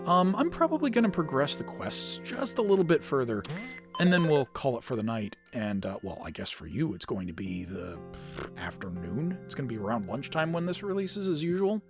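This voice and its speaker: A man's voice: mid-range voice, very animated, brisk, music in the background. A man giving casual let's-play commentary as host, rambling and thinking aloud. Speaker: gaming video host.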